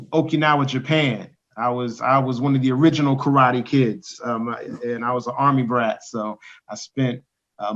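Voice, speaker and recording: A man, a rapper and poet, talking casually on a video call, pausing often with ums and restarts. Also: medium pitch. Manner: halting, many ums, animated when quoting lyrics. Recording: dull lo-fi call audio.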